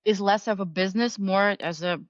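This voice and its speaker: A woman's voice: medium pitch, fairly quick, dry recording, muffled recording. A woman who founded a skincare brand, talking as a podcast guest in a hesitant, enthusiastic way with many fillers and restarts. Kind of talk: casual interview chat.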